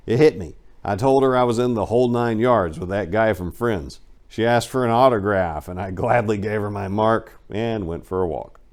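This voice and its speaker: Male narrator with a lower-pitched voice for a dramatic memoir reading; wry, animated storyteller. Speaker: audiobook narrator.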